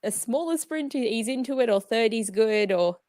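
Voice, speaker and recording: A woman's voice: fairly high pitch, expressive, normal speed, darkish tone, no room sound, studio quality. A woman co-hosting a reading livestream, upbeat and giggly as she chats and sets a sprint timer. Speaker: readathon co-host. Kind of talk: casual livestream chat.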